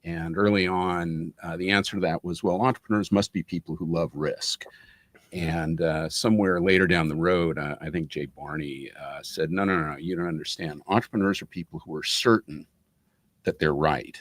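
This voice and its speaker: A man with a deep voice speaks as a panelist in a podcast interview. He frames his questions in a thoughtful, academic way and takes his time getting to them. The sound is close and dry. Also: steady tone, moderate pace, no room sound.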